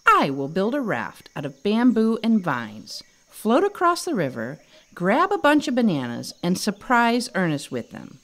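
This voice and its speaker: A woman's voice: medium pitch, huge pitch swings, measured pace, a bit bright. A woman voicing a mischievous, eager little boy monkey in a storybook, exclaiming and wheedling. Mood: confident. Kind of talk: storybook character voice.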